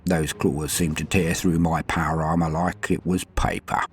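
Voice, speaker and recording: A man voicing a centuries-old space-marine sergeant, stoic, proud and dying, in a solemn, halting audio drama monologue with a gravelly voice. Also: little pitch movement, soft background music. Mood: grim, regretful. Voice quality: very deep gravelly voice. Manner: solemn, halting, dramatic delivery with swings between murmur and force. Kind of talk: scripted first-person audio drama monologue.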